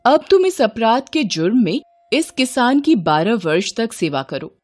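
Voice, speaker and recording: A woman's voice: fairly high pitch, sing-song, a little slow. A woman storyteller voicing a stern, commanding male god in a devotional tale, with formal, authoritative delivery. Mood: stern, displeased.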